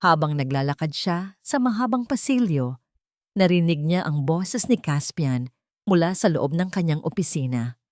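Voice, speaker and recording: A woman's voice: sits low, animated, moderate pace, a little mellow, no echo, studio quality. A woman reading a dramatic scripted audiobook story as its narrator.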